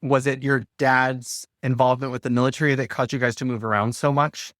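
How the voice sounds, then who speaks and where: A man hosting a podcast, reading a scripted introduction and asking interview questions in a measured way. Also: medium pitch, level tone, fairly bright tone, dry recording, studio quality. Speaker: podcast host.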